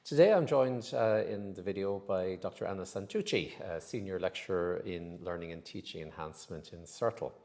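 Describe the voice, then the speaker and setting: Deep-voiced man hosting. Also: moderate pace, few pauses, darkish tone. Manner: friendly, encouraging questions. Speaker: interview host. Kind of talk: hosting an academic interview.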